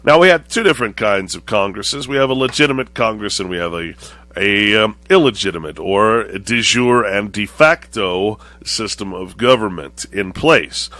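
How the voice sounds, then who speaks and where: A man with a cold-thickened voice, hosting a show and lecturing deliberately to his viewers, often rephrasing as he goes. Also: fairly low pitch, sharp tone, dry recording. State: voice lowered and congested, recovering from chest cold. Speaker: show host. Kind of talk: monologue to viewers, political and religious commentary.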